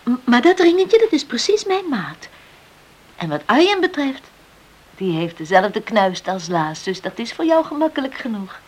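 A woman's voice: on the high side, sing-song, normal speed. An actress playing an eager, affectionate young woman recovering in bed, earnest and coaxing, in a hissy old radio drama. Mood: earnest, confiding.

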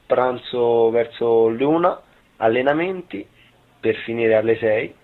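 A man's voice: mid-range voice, slow pace. A man in his early twenties giving brief, informal answers in an interview. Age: 20s. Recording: thin phone-like sound.

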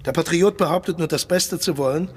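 An actor playing a suave, cynical mercenary colonel and old-hand spy in a radio play, polite but insistent, condescending and menacing. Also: male voice, fairly high pitch, fairly quick, husky. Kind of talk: German radio play acting.

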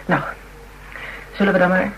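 A woman's voice: fairly low pitch, level tone, normal speed, muffled recording, lightly acted. An actress playing a stubborn, feisty woman in a radio play, talking bluntly and wryly. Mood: nervous resolve. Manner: colloquial, blunt, wry. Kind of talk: radio drama acting. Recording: old radio play, background noise.

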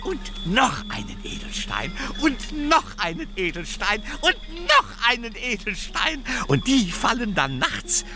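An animated radio-play storyteller with a fairly high voice that swoops dramatically up and down. He takes his time over a whimsical folk tale, savoring repeated words, with music behind him. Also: unhurried, few pauses, heavily acted. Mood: whimsical, dramatic. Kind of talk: theatrical radio play narration.

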